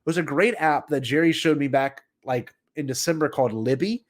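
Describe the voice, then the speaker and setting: A man's voice: fairly high pitch, normal speed. A man co-hosting a podcast in casual chat, talking in an effusive, emphatic way. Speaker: podcast co-host.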